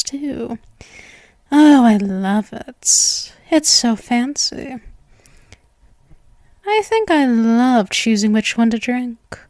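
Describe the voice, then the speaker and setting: Woman acting a slow, doting vampire girl, high-voiced. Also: no echo. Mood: doting, smug.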